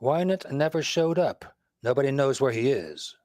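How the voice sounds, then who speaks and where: Radio play: flippant, easygoing husband and reluctant sleuth female actor, low register, very lively intonation. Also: moderate pace, no room sound. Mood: matter-of-fact. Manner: dry, teasing banter. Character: Nick, flippant, easygoing married man, reluctant detective. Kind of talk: vintage radio drama acting.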